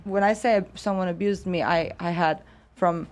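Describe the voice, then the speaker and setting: Woman guest, thirties, from Croatia, somewhat low voice, earnest and halting. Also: fairly even intonation. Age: 30s. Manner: earnest, halting, with stammered restarts. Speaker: panel guest. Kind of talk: casual panel discussion.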